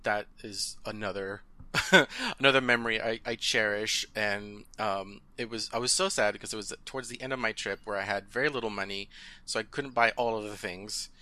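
A man's voice: lowish voice, fairly even intonation, a little fast, sharp tone, no echo. A man in his thirties whose first language is Spanish, chatting as a podcast guest in a hesitant way, full of fillers and easy laughter. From Southern California. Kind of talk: casual interview chat.

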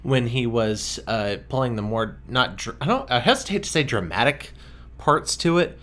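A man's voice: mid-range voice, big pitch swings, moderate pace, bright, forceful tone. A man co-hosting a podcast in casual chat, with a dry, hesitant delivery that trails off into short murmurs. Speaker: podcast co-host. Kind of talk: casual chat reviewing a film.

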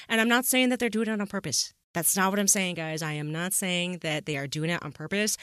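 A woman's voice: on the low side, a bit bright, dry recording, clean studio sound. A woman co-hosting a podcast discussion, speaking in an emphatic, blunt, casually sweary and opinionated way. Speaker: podcast co-host.

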